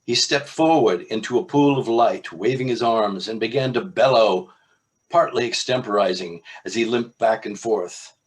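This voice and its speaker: A man, an author, reading from his own novel in a measured literary style with informal asides. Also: fairly low pitch.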